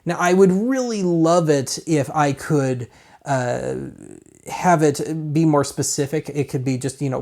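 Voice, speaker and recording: A man's voice: medium pitch, warm tone. An American man hosting a casual gadget walkthrough in a rambling, colloquial, self-deprecating way. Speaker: host of a gadget review show.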